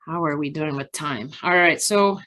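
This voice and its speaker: A woman researcher giving an academic presentation from slides in a measured, halting, explanatory way with frequent pauses. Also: deep voice, sharp tone. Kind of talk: conference research presentation, partly improvised from slides.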